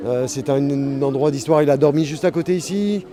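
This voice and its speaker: A man's voice: on the high side, fairly quick, dark tone. A man being interviewed as a painter, talking casually and enthusiastically outdoors at a festival. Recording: music behind, outdoor event.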